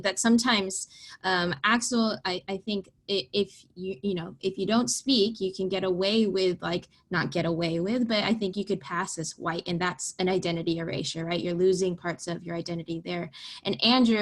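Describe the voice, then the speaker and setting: A woman's voice: fairly low pitch, fairly bright tone. A woman moderating a town hall discussion, posing questions to panelists with friendly restarts.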